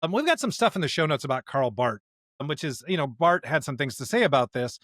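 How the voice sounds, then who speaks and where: A man's voice: a little high, big pitch swings, quick pace, fairly bright tone, dry recording, clean studio sound. A man co-hosting a podcast with conversational theological commentary, stressing his points with asides and rhetorical questions. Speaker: podcast host.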